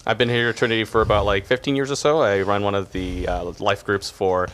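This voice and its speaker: Fast-talking young man with a low voice. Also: steady tone, a bit bright. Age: young adult. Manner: rapid, with many uhs and restarts. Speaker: podcast guest, young adults group leader. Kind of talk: casual conversation.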